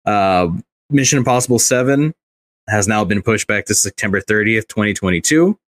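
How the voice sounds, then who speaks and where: A man's voice: lowish voice, expressive, moderate pace. A man co-hosting a pop culture podcast in casual, informal talk with some swearing. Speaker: podcast co-host.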